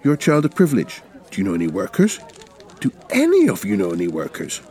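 A man voicing a menacing, glaring revolutionary leader in a story reading, accusing and forceful in manner. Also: on the low side, wildly swooping pitch, quick pace, pitched above the performer's own voice. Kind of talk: dramatic short story reading.

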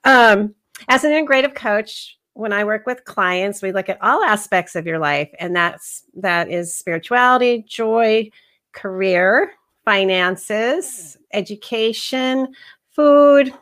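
A woman's voice: mid-range voice, animated, slow pace, a bit bright. A woman hosting a health coaching podcast, reading a scripted intro and then chatting with a guest in an upbeat manner.

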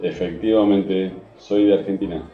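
A man's voice: low voice, steady tone, normal speed, close to an everyday voice. A man playing a polite Argentine bar customer in a Spanish-language radio soap. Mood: courteous. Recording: dull, echoey room sound.

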